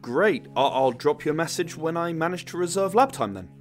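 Casting: male actor for a callous young male student, scornful and short-tempered, swinging widely in pitch, sci-fi radio play. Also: animated, brisk, soft background music, close to an everyday voice. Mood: awkward, relieved. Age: young adult. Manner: scornful, insistent. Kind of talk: full-cast sci-fi audio drama.

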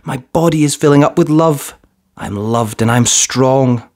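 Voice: medium pitch, measured pace, darkish tone, close to an everyday voice. Hesitant, hopeful storybook boy, voiced by a narrator. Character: sad, hopeful eight-year-old Syrian boy.

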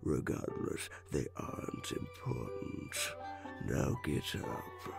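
A man voicing a solemn, cryptic cloaked guide with a gravelly, breathy whisper, in a dramatic comic dub. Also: rumbling low, slow pace, heavily acted. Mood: ominous. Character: cloaked mysterious male being, solemn, ominous, protective guide. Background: music.

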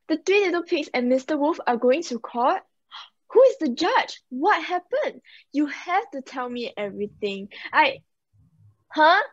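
A teenage girl playing a spoiled, gossipy fairy-tale girl with a drawn-out, gushing manner in a drama. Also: high-pitched, fairly bright tone, heavily acted. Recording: muffled video-call audio.

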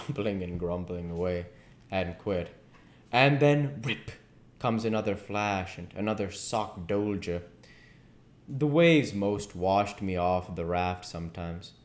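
Low-voiced man reading aloud, echoey room. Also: slow. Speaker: amateur audiobook reader. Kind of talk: reading a classic novel aloud. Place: living room at home, indoors. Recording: echoey home room recording.

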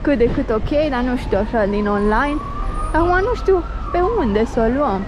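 A woman's voice: fairly high pitch, animated. A woman vlogging casually while walking city streets, pointing things out to her viewers. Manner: chatty, guiding, addresses viewers. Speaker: travel vlogger. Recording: noisy outdoor street recording. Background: loud street traffic.

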